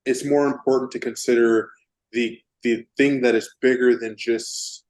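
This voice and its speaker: A man from Texas interviewing a guest, halting and restarting often as he searches for words. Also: mid-range voice, steady tone, darkish tone, rough recording. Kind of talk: casual interview.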